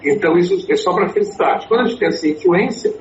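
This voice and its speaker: A man's voice: sits high, fast. A man in his sixties, a native English speaker, answering interview questions about his storytelling consulting with many fillers and restarts. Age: 60s. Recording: echoey, dull, slightly noisy.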